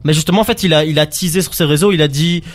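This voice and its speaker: A man presenting music release news in a casual panel talk, with a halting delivery full of filled pauses. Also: fairly high pitch.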